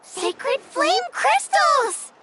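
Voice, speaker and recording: A woman's voice: extremely high pitch, sing-song, extremely slow, music in the background, exaggerated voice, poor audio. A woman playing an eager, childlike girl companion in a video game. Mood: excited.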